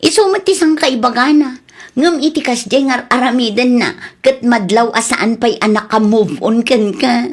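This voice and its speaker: A woman playing a cheeky, teasing young best friend in an Ilocano Filipino radio comedy, with a husky voice. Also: on the high side, moderate pace, lightly acted. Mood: teasing, confiding. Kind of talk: comedy radio drama acting.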